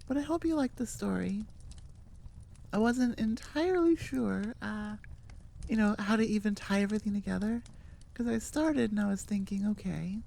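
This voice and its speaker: Woman, mellow tone, sick, casual chat, playful, rambling, self-deprecating. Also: medium pitch, vocal fry. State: sick with a cold or similar illness. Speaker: audio roleplay creator. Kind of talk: casual chatty sign-off to listeners.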